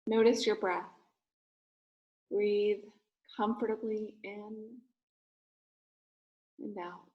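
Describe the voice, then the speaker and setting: A woman speaking as a rabbi leading a guided meditation, giving gentle, soothing instructions in short phrases with pauses. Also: medium pitch, steady tone, lo-fi sound.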